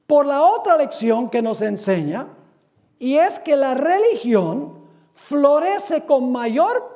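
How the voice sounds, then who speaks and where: A pastor from the United States preaching a sermon, reading quotations slowly and firing off rhetorical questions that he answers himself. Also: very high-pitched, dark tone. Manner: emphatic, asks rhetorical questions and answers them; reads quotations aloud slowly. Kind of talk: sermon lecture.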